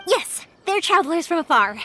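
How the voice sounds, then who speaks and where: Peppy young anime game girl, friendly, really high n bouncy, music in back. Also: moderate pace, exaggerated voice. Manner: upbeat, animated, reassuring. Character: bubbly, kindhearted young fireworks maker woman. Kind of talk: video game voice acting. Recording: music behind.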